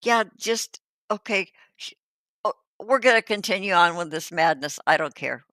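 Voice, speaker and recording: A woman co-host in a casual reaction chat, amused and chatty, with drawn-out asides. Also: on the low side, animated, a bit bright, no room sound, studio quality.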